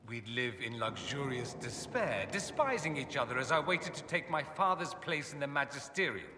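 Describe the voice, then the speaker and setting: An actor playing a vain, sardonic young aristocratic mage in a video game, with a suave, theatrical and wry delivery. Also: male voice, medium pitch, big pitch swings, normal speed, pushed and bright, echoey room, long reverb tail, a little hiss or hum. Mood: theatrical, self-mocking. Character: witty, vain aristocratic male mage, sardonic rebel exile. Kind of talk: video game dialogue acting.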